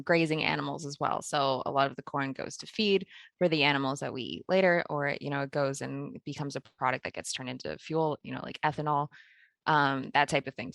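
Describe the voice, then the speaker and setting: A woman from Pennsylvania, a podcast guest, explaining her work in a measured way with soft laughs. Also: sits low, gravelly, dry recording. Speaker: podcast guest, food project founder. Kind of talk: interview conversation.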